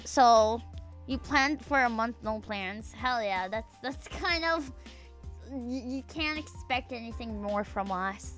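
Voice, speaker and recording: A young woman with a bright, fairly high voice rambles through a casual live stream, swearing freely and stumbling over fillers and false starts. Faint music plays behind her. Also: takes it slowly. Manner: rambling, profane, filler-heavy, trails off mid-sentence. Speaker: VTuber streamer. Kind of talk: casual stream chat, reading chat aloud.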